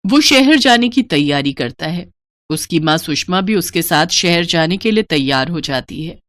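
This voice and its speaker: Woman, scripted story narration, lowish pitch, very varied intonation, dry close recording. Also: expressive, normal speed, a bit bright, dry recording.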